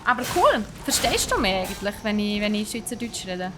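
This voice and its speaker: A woman's voice: mid-range voice, sing-song, moderate pace, over music, low-quality recording. A young Swiss woman with a Swiss German accent, live-streaming a game with chatty, self-mocking commentary and English exclamations thrown in. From Switzerland.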